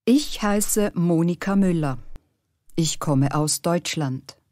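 A woman reading short German practice sentences slowly and clearly for learners. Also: fairly low pitch, a little mellow, no echo, studio quality. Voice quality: clear, careful pronunciation. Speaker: language course speaker. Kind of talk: slow scripted language drill.

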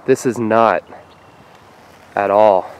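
A young man casually narrating his fishing trip, speaking quietly as he stalks fish by a lake. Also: extremely slow, smooth, poor audio. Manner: hushed and low while stalking fish. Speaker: fishing vlogger. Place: outdoors by lake flats, partly in a car. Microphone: action camera on head or in hand.

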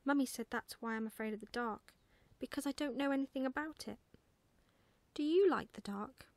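A woman's voice: high-pitched, normal speed, no room sound, voice pushed up. A woman voicing a naive, earnest young male barn owl in a storybook, childlike and easily cross. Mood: curious. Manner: childlike questioning, indignant protests.

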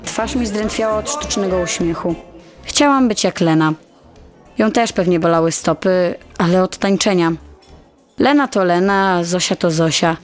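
A young woman playing a shy, insecure high-school girl who tells her own story in an audio drama, quiet and confiding. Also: moderate pace, natural voice. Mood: nervous, introspective. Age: teenager. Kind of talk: audio drama first-person narration. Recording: music bed under the voice.

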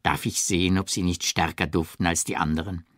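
A man reading the part of a shy gentleman in an audiobook, courting politely with tentative, gentle coaxing. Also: low-pitched, steady tone, a little fast, no room sound, studio quality. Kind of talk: audiobook character dialogue.

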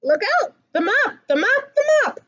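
A young adult woman reading a rhyming picture book aloud to children in an expressive, singsong way. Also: very high-pitched, normal speed, muffled recording. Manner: animated, singsong rhyming delivery. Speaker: children's story reader.

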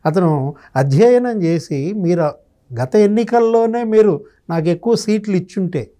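Casting: male pundit in his fifties, high-set but soft-edged voice, storytelling delivery. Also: lively intonation, a little slow. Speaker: senior journalist, guest analyst. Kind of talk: conversational political commentary. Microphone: lapel mic.

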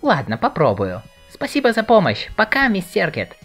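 An eager, curious teenage boy acted by a woman in a webcomic dub. The voice sits fairly low and leaps around wildly in pitch. He talks in an excitable, inquisitive, naive way, with music underneath. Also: moderate pace, breathy edge, full character voice. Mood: cheerful. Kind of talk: dramatic reading of a webcomic chat.

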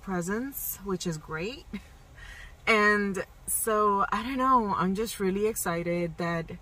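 A woman's voice: mid-range voice, slow pace, sharp tone, distant mic, rough recording. A woman in her thirties vlogging casually for her plant channel, shivering from the cold and rambling with lots of fillers, filmed on a phone at arm's length in a truck. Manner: chatty and rambling, many fillers. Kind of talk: casual vlog chat. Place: inside a truck cab, earlier outdoors at a plant nursery. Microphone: phone held at arm's length.

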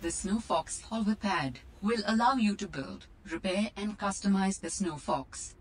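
A woman voicing a polished, informative computer assistant in a sci-fi video game, with measured delivery. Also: mid-range voice, natural voice. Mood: neutral, informative. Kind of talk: scripted narration.

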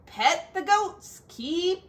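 A woman voicing a bossy male rooster who gives loud farm orders in a children's storybook. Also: very high-pitched, animated, drawn-out words, voice pushed up, heavily acted. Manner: bossy, commanding.

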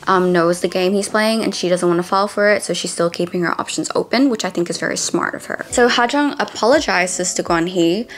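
A woman with a raspy, hoarse voice giving an opinionated, casual recap as a YouTuber, with lots of "like" and "um" fillers. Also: fairly low pitch, brisk, few pauses, a little hiss or hum. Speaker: YouTuber recapping a dating show.

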